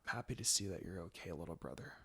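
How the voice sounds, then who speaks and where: A man's voice: deep voice, lively intonation, fast talker, sharp tone, husky, voice pushed down, exaggerated voice. A man voicing a protective young female ninja who speaks earnestly and formally in a story reading. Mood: tender, hushed.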